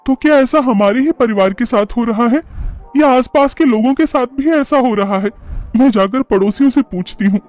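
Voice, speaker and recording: Man putting on a high, anxious housewife voice. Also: moderate pace, darkish tone. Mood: worried. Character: frightened young housewife, anxious and insistent. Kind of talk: horror cartoon dialogue.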